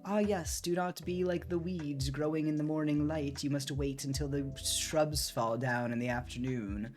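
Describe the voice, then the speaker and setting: A man's voice: fairly high pitch, fairly even intonation, moderate pace, faint music behind, pitched above the performer's own voice, natural voice. A man putting on a mock-pompous, smug sage. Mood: mock solemn. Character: mock-sage spouting flowery analogies, smugly pleased. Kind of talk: comic parody.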